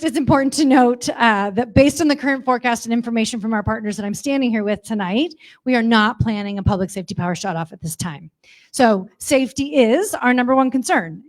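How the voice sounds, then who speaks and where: A woman from a power utility speaking loudly and directly at a community meeting, reassuring residents about safety plans. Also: a little high. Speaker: utility company representative. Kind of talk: public briefing at community meeting.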